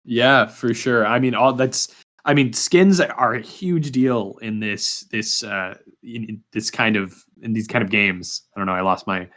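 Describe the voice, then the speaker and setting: A man's voice: medium pitch, a little slow, a bit bright. A man interviewing as a podcast host in a casual, informal way, often stumbling over his words.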